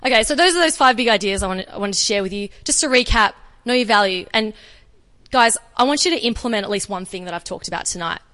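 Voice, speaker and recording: Australian woman, energetic, chatty, self-deprecating, live conference talk, echoing hall. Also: mid-range voice, fast. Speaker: guest speaker. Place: conference room with audience. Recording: reverberant hall.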